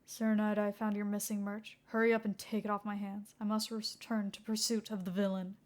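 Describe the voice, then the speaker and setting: A woman's voice: mid-range voice, level tone, brisk, natural voice. A woman voicing a dogged, self-righteous male police detective in a fan fiction reading, with clipped, officious declarations. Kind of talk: acted fan fiction dialogue.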